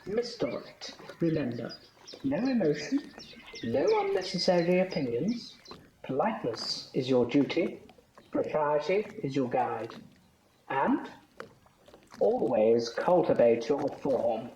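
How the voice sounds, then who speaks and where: An actress playing a strict, self-possessed Victorian governess in an audio drama, clipped, stern and formal. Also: female voice, deep voice, sing-song, slow, mellow, roomy sound, muffled recording, slight background noise, heavily acted. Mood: stern, prim.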